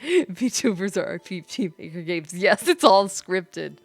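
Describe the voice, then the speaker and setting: A woman's voice: lowish voice, big pitch swings, slow, breathy edge, no echo. A woman livestreaming a game as a VTuber, commenting in a playful, theatrical manner. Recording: background music under voice. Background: music.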